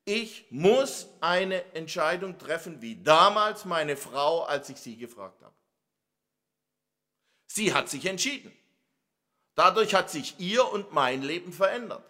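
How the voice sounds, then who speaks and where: Slow-speaking, bright-voiced Swabian preacher. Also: male voice, high-pitched, animated. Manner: emphatic, asks the audience rhetorical questions. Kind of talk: sermon.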